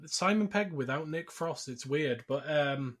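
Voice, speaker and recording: A man's voice: a little high, lively intonation, unhurried, fairly bright tone. A man hosting a podcast, recounting a film casually and jokily, acting out bits as he goes. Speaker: podcast host. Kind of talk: casual film recap chat.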